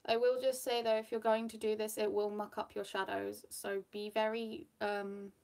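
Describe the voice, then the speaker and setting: A young British woman with a faint Australian lilt chats away while doing her makeup on camera. She rambles with fillers and laughs easily, in a relaxed, friendly way. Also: medium pitch, moderate pace, vocal fry. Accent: British, with a slight Australian tinge. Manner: chatty, giggly, rambling with fillers. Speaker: makeup vlogger. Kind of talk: casual get-ready-with-me chat.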